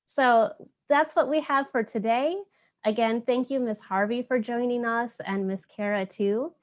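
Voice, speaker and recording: A woman's voice: a little high, fairly even intonation, a little slow. Encouraging woman hosting an online storytime. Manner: encouraging, addresses viewers directly. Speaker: host of a community storytime program. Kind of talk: casual presenting to online viewers.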